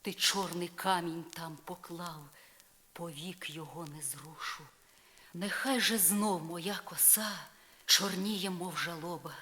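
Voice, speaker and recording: An actress playing a proud, jealous, sorrowful spurned wife in a solemn verse drama, shifting from breathy hush to declamation. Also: female voice, on the low side. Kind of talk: dramatic poetry reading, slow and solemn. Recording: vinyl record rip.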